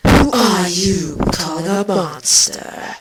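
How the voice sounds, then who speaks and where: Condescending insect queen villainess voiced by a woman, slow and snarling, storybook fantasy, off-mic with loud noise. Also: fairly low pitch. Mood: indignant. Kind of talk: fan fiction character acting. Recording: distant, loudly noisy amateur microphone.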